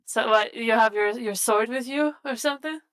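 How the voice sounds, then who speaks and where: A woman's voice: on the high side, moderate pace, a bit bright, clear, resonant tone. A woman playing in a live tabletop role-playing game, mixing casual improvised banter with describing her character's actions.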